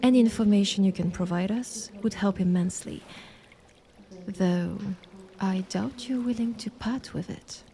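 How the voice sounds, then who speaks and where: A woman playing a calm, stern younger monster sister in an audio drama, with measured, formal delivery. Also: mid-range voice, close to an everyday voice. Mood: solemn appeal. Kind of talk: dramatic audio roleplay acting.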